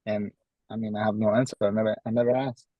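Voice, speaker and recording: A man from Guatemala with a Guatemalan Spanish accent, chatting casually as an interview guest and often repeating his phrases haltingly. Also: lowish voice, level tone, no room sound, lo-fi sound. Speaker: podcast guest, tradesman.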